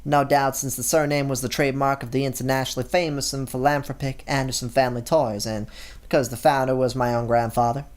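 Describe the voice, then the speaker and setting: A man reading a visual novel aloud in plain, steady narration, with the odd casual aside. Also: mid-range voice, quick pace. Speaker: YouTube gamer.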